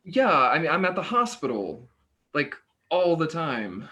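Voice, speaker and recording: An actor playing an awkward, polite young hospital worker who hesitates and stammers in a naturalistic play reading. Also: male voice, on the high side, very animated, measured pace, bright, forceful tone, full character voice. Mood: uneasy, evasive. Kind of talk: naturalistic stage dialogue reading.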